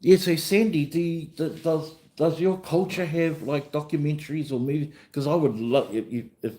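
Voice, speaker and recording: A man's voice: a little high, steady tone, normal speed, warm tone. A man with a New Zealand accent hosting a casual livestream chat in an easygoing, rambling way, often repeating himself. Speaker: livestream host and vlogger.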